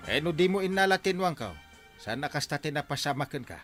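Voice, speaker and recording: An actor playing an excitable village captain in an Ilocano-accented radio drama, scolding and reproachful in manner. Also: male voice, a little high, fairly quick, music in the background, close to an everyday voice. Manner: animated, reproachful, swooping delivery. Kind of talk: Philippine radio drama acting.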